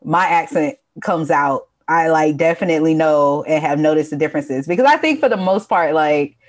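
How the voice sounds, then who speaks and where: A woman from the American South with a Southern accent, a podcast guest talking in an expressive casual chat and laughing easily. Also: sits low, moderate pace.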